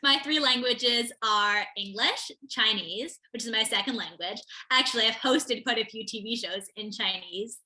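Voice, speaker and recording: A woman's voice: on the high side, normal speed, few pauses, pushed and bright. A teenage girl answering interview questions, eager and articulate. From America, Connecticut. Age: teens. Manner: eager, articulate, laughs while telling stories. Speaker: interview guest, student.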